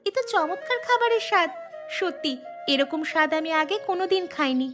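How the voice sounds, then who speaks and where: A woman's voice: extremely high pitch, fairly quick, over music. Woman voicing a spirited young city bride. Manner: lively, emphatic. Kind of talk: cartoon voice acting.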